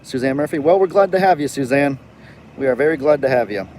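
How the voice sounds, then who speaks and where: A man's voice: mid-range voice, expressive, fast, darkish tone, slight background noise. A young man with a light Southern US accent, hosting a casual live chat and rambling as he reads viewer comments aloud. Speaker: livestream host.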